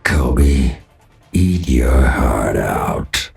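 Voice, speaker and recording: An actor playing a big, scary, sinister killer from a game in a cavernous growl. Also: male voice, a bass voice, lively intonation, drawn-out words, gravelly, soft background music, heavily acted. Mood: gloating.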